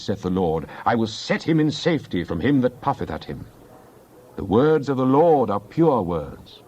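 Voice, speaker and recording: A man reads scripture as a dramatic narrator, solemn and declamatory. His pitch sits in the middle of the male range and he phrases each line deliberately. The recording is somewhat dull and band-limited. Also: a little mellow. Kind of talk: dramatized Bible reading.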